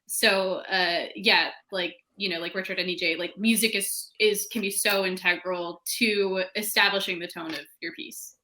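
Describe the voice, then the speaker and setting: Bright-voiced woman explaining on a panel. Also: fairly low pitch, steady tone, moderate pace, hardly any pauses. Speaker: panelist, fiction podcast creator. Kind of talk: casual explanatory panel talk.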